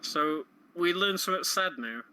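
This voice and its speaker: A man's voice: high voice, expressive, moderate pace, pushed and bright, clear, resonant tone, poor audio. A man giving casual co-commentary on a video game, explaining things in a conversational way. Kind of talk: casual live gaming commentary.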